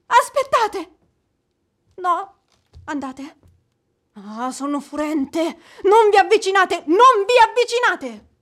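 Very high-voiced, fiery widow. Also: female voice, animated, slow, long pauses, a bit bright, heavily acted. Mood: torn, agitated. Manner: imperious, dramatic, wavering. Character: proud, fiery, fickle young widow. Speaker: actress in a radio play. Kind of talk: theatrical radio drama acting.

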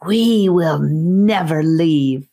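A woman voicing an evil female snake from a fantasy audiobook, mocking and defiant, with high-pitched taunts. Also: extremely slow, warm tone, exaggerated voice. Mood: threatening.

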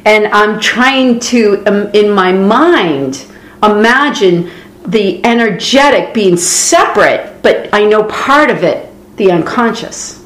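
A woman's voice: medium pitch, lively intonation, slow, fairly bright tone. Questioning Boston woman in echoey room. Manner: questioning, thinking aloud. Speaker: podcast co-host. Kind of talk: spiritual discussion. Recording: roomy echo, faint noise.

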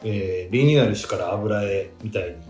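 A man's voice: on the low side, fairly quick, a little mellow. A man chatting casually as a guest on a radio show, in a roomy space with guitar music behind. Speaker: magazine editor, radio talk guest. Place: indoor room at a theatre. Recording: roomy, echoey room sound.

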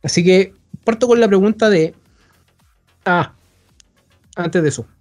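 A man's voice: high-pitched, measured pace, darkish tone, faint music behind. A man hosting a podcast, talking casually and rambling with frequent restarts. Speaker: podcast host.

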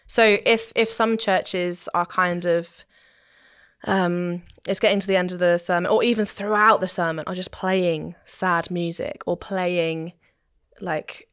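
A woman with a fairly low voice talks in a conversational interview. She explains her points thoughtfully, like someone who knows the practical side. The sound is very dry, with almost no room on it. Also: fairly even intonation, unhurried, fairly bright tone, smooth, no room sound. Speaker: interview guest, church musician and singer.

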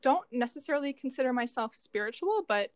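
A woman's voice: high voice, expressive, fairly quick, dry recording. Young woman in dry, casual podcast talk. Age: young adult. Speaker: podcast co-host, doctoral student in psychology. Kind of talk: casual reflective conversation.

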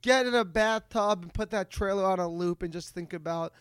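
High-voiced man bantering casually. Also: brisk, fairly bright tone, smooth, dry recording, clean studio sound. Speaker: podcast co-host.

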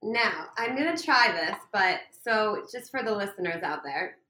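A woman's voice: mid-range voice, animated, unhurried, bright, forceful tone, reverberant. A woman co-hosting a casual interview, asking brief, direct questions. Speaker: podcast co-host.

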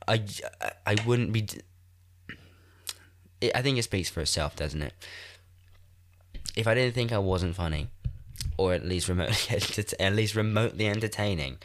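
A man's voice: fairly low pitch, fairly bright tone, slight background noise. Stuffy-nosed young man rambling. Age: 20s. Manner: self-mocking, swearing, laughing often. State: congested, stuffy nose. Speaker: podcast host. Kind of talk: rambling solo podcast chat. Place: echoey room in a shared house. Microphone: desk microphone.

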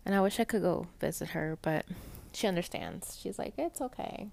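A young woman with a soft, pleasant voice chatting casually and rambling in a personal podcast monologue, full of fillers. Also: fairly low pitch, lively intonation, normal speed, gravelly. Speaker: podcast host.

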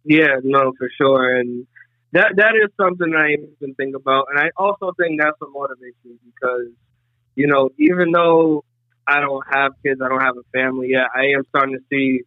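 A man's voice: medium pitch, lively intonation, moderate pace, dry recording. Man in his twenties on a tinny line. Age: 20s. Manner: rambling, many fillers like "you know". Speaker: podcast guest. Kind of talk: casual podcast conversation. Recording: tinny phone-like remote line.

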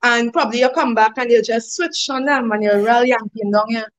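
A woman's voice: fairly high pitch, fairly quick. A young woman with a Trinidadian accent, chatting as an interview guest, laughing often and filling her talk with "like" and "you know". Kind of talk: casual interview chat.

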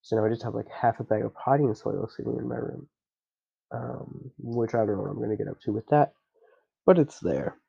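A man's voice: medium pitch, a little fast, gravelly, mellow, slightly rough, dull audio. A young man with a stuffy nose, chatting casually and rambling with lots of fillers as a podcast host. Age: young adult. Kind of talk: casual solo chat.

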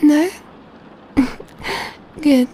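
A woman playing a gentle, doting female vampire in a soft-spoken, tender ASMR roleplay. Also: high voice, fairly even intonation, a little hiss or hum. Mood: excited, affectionate. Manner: tender, slow, hushed, softly giggling delivery. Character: gentle, doting female vampire pampering her adopted catgirl lover.

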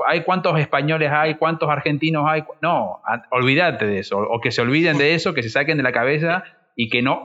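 A man talks emphatically in a casual, conversational way. His voice is bright and forceful, and the recording has a slightly dull, lo-fi sound. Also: mid-range voice. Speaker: podcast co-host. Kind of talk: casual panel discussion.

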